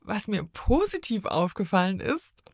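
A woman's voice: medium pitch, big pitch swings, unhurried, no room sound, studio quality. A woman chatting casually as a guest reviewer, thinking aloud and searching for her words.

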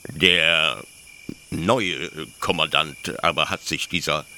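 Man playing a bright, zealous young penal officer. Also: a bass voice, slight background noise, heavily acted. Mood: apologetic, defensive. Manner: hesitant pedantic explaining, with stammered pauses. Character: zealous young officer-judge of a penal colony, proud, defensive. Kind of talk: German literary radio play acting.

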